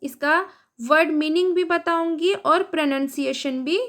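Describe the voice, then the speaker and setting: A woman with an Indian accent, reading an English story aloud slowly and translating it word by word into Hindi as a teacher. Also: sits high, fairly bright tone. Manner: reads word by word, translating each.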